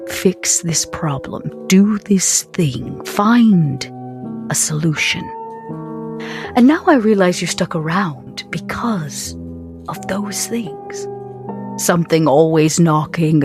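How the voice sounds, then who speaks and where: A woman acts out a scheming female vampire of business in a tabletop roleplay game. Her voice sits low, and she speaks in formal, deliberate phrases with weighted pauses. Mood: coaxing, deliberate.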